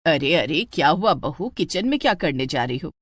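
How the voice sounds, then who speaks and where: Story role: penny-pinching, nagging mother-in-law, acted by a female narrator, middle-aged, lowered voice, bossy yet coaxing, dry close sound. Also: sing-song, moderate pace, a bit bright, husky, no room sound, full character voice. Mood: bossy, flustered.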